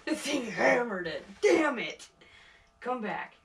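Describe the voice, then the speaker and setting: A young man narrating his ice fishing to the camera, excitable and quick to exclaim, recorded in a small wooden fish house. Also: extremely high pitch, gravelly, slightly rough. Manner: excitable, swears and exclaims at bites. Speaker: fishing vlogger. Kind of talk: excited casual commentary.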